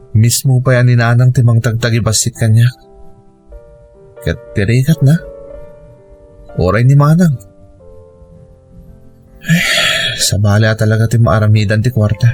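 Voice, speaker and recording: A man's voice: medium pitch, dark tone, lightly acted. A man with an Ilocano accent acting a dutiful, long-suffering grown son in a radio drama, earnest and plaintive. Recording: music bed under the voice.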